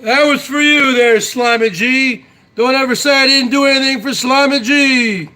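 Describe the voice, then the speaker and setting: A man's voice: extremely high pitch, fairly even intonation, normal speed, bright, forceful tone, soft background music. A man in his forties hosting his own online show, chatting casually to viewers in a brash, boastful way and tagging his lines with "huh?". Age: 40s. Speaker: YouTube show host. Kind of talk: casual solo chat to viewers.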